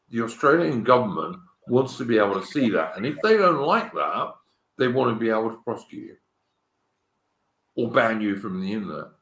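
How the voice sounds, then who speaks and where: An English man hosting a casual online political chat, halting and searching for words, with many ums and restarts. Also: fairly low pitch, gravelly, fairly bright tone. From England. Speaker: host. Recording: echoey room.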